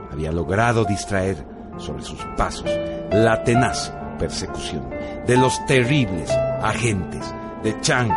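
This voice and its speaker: A man giving solemn, measured scripted narration for a radio drama. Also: on the low side.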